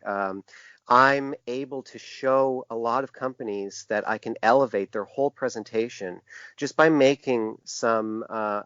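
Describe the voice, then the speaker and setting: A man speaks as an interview guest, explaining his creative work in a conversational register. His delivery is halting and searching, with long answers. The recording is dry and somewhat muffled. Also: mid-range voice, a bit bright, clear, resonant tone, no echo. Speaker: podcast guest, typographer and graphic designer. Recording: dull, lo-fi, dry podcast audio.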